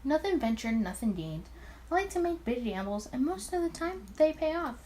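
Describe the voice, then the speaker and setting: A woman's voice: sits high, very animated, moderate pace, warm tone, a little hiss or hum, voice pushed up. A woman voicing an arrogant, charming male mafia boss from the 1920s in a visual novel. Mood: confident.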